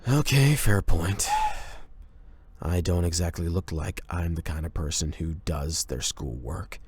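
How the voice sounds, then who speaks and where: A man playing a cocky, rebellious high school delinquent in an anime boyfriend roleplay, talking in a casual, sardonic, slangy way. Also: a little slow, a little mellow, breathy edge. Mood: defiant, dismissive.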